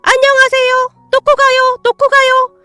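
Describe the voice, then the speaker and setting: A cheeky parrot squawks its lines in a very high, shrill voice. Each short word is shouted in a sing-song burst and repeated like a chant. The read is close and dry, over faint background music. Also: female voice, monotone, moderate pace, no room sound. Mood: chirpy.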